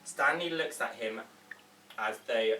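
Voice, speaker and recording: A man's voice: mid-range voice, slow, bright, forceful tone. Roomy-sounding man narrating a script. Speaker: table read participant reading stage directions. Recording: roomy, distant mic with background noise.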